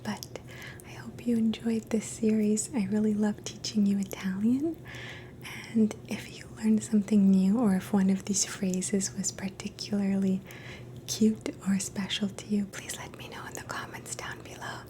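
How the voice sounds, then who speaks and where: Softly whispering woman. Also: mid-range voice, little pitch movement. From Canada. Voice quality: soft whisper, mostly unvoiced. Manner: slow, gentle, repeats each phrase. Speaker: ASMR host teaching phrases. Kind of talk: whispered ASMR language lesson.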